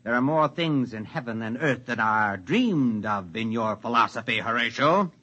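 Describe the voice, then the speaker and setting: A man's voice: mid-range voice, expressive, normal speed, bright, forceful tone, dull audio. An actor playing an intense, grandiose idealist who believes he controls minds, in a 1950s radio drama. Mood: grave, earnest. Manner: earnest, grandiose, theatrical. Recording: old 1950s radio recording.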